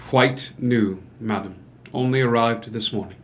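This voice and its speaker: An actor playing a courteous, hesitant gentleman doctor new in town, speaking in formal, halting phrases at a theatrical table read. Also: mid-range voice, slight background noise, lightly acted. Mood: polite, surprised. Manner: formal, halting, old-fashioned politeness. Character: courteous, hesitant male doctor newly arrived in town, subtly ingratiating.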